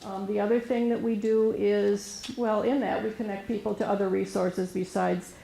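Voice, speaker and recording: A woman with a soft voice giving an informal presentation as a resource specialist, hesitant, with many fillers and restarts. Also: medium pitch, level tone, normal speed, gravelly, slight background noise. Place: meeting room with an audience. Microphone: room microphone recorded for camera. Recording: roomy echo.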